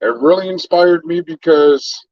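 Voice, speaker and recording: A man from Canada chats loosely on a livestream, drifting between topics and calling out viewers by name. His voice sits a little high. The sound is close, dry and muffled, like a cheap stream mic. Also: a little slow, no echo. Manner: laid-back, rambling, shouts out viewers by name. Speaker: livestream host. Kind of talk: casual livestream chat.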